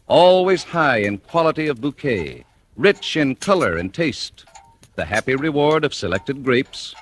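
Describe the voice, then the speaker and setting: An American man announces in vintage 1940s radio style. His delivery is polished, emphatic and very lively in pitch, on an old recording with faint noise. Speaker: sponsor announcer. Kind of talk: 1940s radio advertising read.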